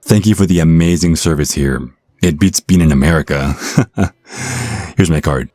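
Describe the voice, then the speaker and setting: A man's voice: rumbling low, moderate pace, mellow, no echo. A man playing an easygoing, sarcastic American on a date in an audio roleplay, wry and casual in his delivery. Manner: wry, casual, sometimes flustered. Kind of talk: audio roleplay acting with first-person narration.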